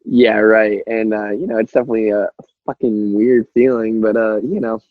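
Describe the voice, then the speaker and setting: A man's voice: fairly low pitch, little pitch movement, moderate pace. A young man, a musician guest, chatting casually in a rambling, filler-heavy way on a dull lo-fi recording. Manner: rambling, peppered with "like" and "you know". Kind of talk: casual chat.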